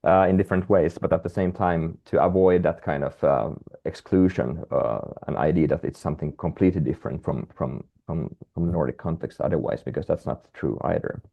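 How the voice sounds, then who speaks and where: A man's voice: deep voice, brisk, vocal fry, hardly any pauses, dull audio. A Finnish-speaking man, a guest researcher, talking hesitantly with many restarts and fillers on a video call. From Finland. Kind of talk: webinar conversation.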